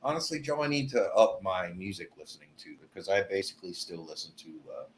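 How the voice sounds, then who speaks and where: A man chatting casually as a guest, relaxed and rambling, with many hesitations. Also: low voice, fast talker, slight background noise. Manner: laid-back, rambling, many uh's. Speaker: guest, old friend of host. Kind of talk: casual chat.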